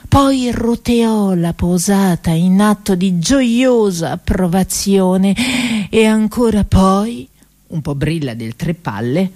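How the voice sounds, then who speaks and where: A woman actress narrating a comic story for radio theatre, grandly emphatic, with dramatic pauses. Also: mid-range voice, animated, dark tone.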